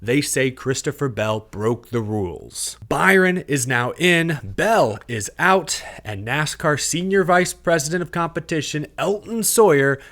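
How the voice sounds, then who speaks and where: An American man hosting a motorsport news show, giving a scripted recap and commentary in a clear, explanatory, emphatic way. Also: on the high side, lively intonation, unhurried, hardly any pauses, fairly bright tone.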